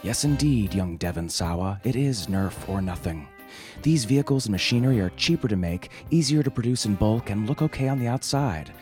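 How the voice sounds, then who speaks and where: A man's voice: fairly low pitch, expressive, a little fast, music in the background. A man hosting a podcast, reading scripted comic satire and introductions in a wry, theatrical way. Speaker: podcast host.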